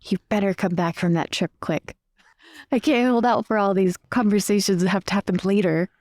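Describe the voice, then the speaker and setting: A woman's voice: medium pitch, fairly even intonation, fairly quick, a little mellow, dry recording. A woman improvising a story in a conversational, chatty way as part of a tabletop role-playing game.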